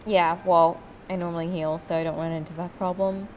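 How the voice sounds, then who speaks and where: Sleepy, low-pitched woman chatting over game music. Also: little pitch movement, fairly quick, dull audio. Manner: sweary, candid. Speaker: gamer commentating with friends. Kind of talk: casual chat over gameplay.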